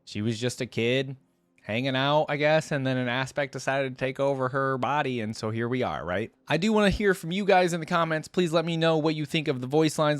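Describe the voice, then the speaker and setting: A man's voice: medium pitch, no room sound. A man hosting a reaction channel, talking casually and informally to viewers in a rambling way, leaning on key words.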